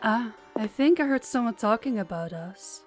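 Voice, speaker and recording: A woman's voice: fairly high pitch, animated, normal speed, faint music behind, lightly acted. A woman playing a smug, boastful vampire rival in an audio drama, with sing-song condescension. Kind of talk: cinematic audio roleplay acting.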